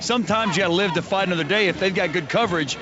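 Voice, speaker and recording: A man's voice: high-pitched, racing. A man with an American accent giving coaching-style breakdowns as a sideline analyst on a live football broadcast. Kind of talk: live analytical sports commentary. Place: outdoor stadium sideline. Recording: dull lo-fi broadcast, noisy stadium.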